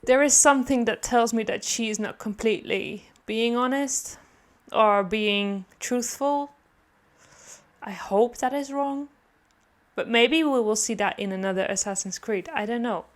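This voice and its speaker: Slow-talking, high-voiced woman reacting. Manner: hesitant, musing, repeats herself. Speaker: gaming reaction video creator. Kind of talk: casual reaction commentary.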